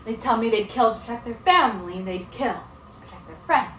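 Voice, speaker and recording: A woman playing an earnest, exasperated female teacher in a solo dramatic performance, speaking in a measured, stern and restrained way. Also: fairly high pitch, expressive, fairly bright tone, echoey room, recorded from a distance, soft background music. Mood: reflective.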